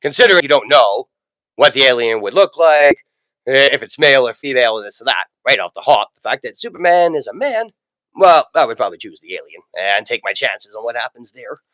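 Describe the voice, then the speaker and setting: A man with a raspy voice from a cold, chatting casually as co-host and teasing his friend dryly. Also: medium pitch, very animated, fairly quick, a bit bright, no echo, poor audio. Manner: dry teasing, laughs a lot. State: getting over a cold. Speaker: podcast co-host. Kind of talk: casual chat. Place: indoors at a friend's home.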